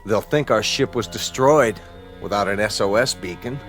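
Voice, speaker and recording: A man's voice: on the low side, normal speed, fairly bright tone, soft background music, lightly acted. A man acting in a radio drama as an observant, matter-of-fact spaceship crewman.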